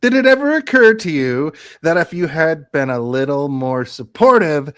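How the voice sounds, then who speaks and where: Casting: man as cocky leading-man commander, highish voice with swooping intonation, dry radio-play sound. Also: sing-song, normal speed, smooth, no echo. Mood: exasperated. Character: cocky, womanizing male lead actor, self-styled commander. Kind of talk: live radio theatre script read.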